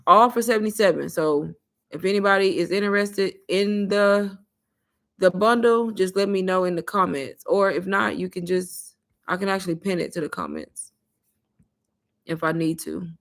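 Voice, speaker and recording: A woman hosting a casual livestream, pitching an online course and taking viewers' questions in a chatty, repetitive way while calling her viewers "y'all.". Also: lively intonation, moderate pace. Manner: chatty, repeats herself, addresses viewers as "y'all".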